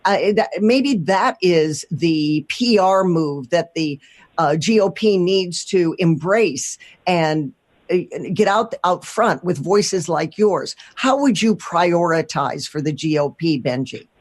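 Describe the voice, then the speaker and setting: A woman's voice: on the low side, animated, takes it slowly. A woman with an American accent hosting and interviewing on a talk radio show.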